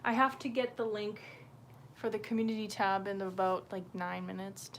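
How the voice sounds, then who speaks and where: A woman's voice: mid-range voice, little pitch movement, moderate pace, a little hiss or hum. A woman hosting a livestream, chatting casually and off the cuff to viewers in a scattered, sweary way. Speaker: livestream host. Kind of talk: casual unscripted livestream chat.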